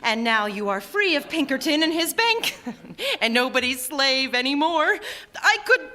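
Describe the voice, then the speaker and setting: An emotional old wife played by a woman in a stage radio play, effusive and insistent. Her voice is high and bright, projected with theatrical force. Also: sing-song, a little slow, exaggerated voice. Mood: joyful, relieved. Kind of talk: theatrical live radio drama acting.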